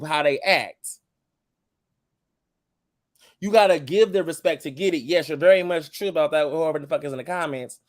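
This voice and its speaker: A man in his twenties who grew up in the United States, a show guest talking in casual chat, blunt and calm while he cusses. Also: a little high, no room sound, clean studio sound. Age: 20s.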